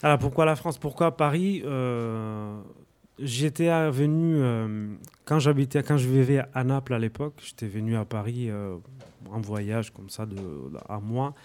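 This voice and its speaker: A young Italian man with an Italian accent, a musician answering interview questions in a halting way, full of fillers and restarts. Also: medium pitch, darkish tone, clean tone. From Italy. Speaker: musician guest.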